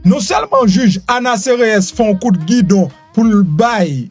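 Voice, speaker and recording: A man with a Haitian accent giving lively, teasing news commentary, switching between Creole, French and English. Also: high voice, moderate pace, faint music behind. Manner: lively, repetitive, teasing asides.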